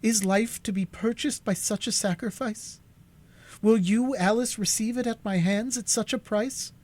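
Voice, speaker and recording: Male reader as dignified young woman, raised pitch, solemn, firm. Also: fairly even intonation, moderate pace, a little mellow. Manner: solemn, earnest. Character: proud, calm elder sister, young woman.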